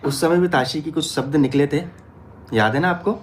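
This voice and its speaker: A man's voice: fairly high pitch, normal speed, a little hiss or hum. A man talking informally as a vlogger to viewers and companions, interjecting and insistently repeating his phrases.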